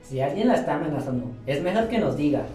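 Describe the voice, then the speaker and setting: Woman acting a police officer, low voice, radio drama, formal questioning, echoey recording, music behind. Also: sing-song, fast. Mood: concerned. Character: courteous, probing police officer. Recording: roomy, distant sound with clear music.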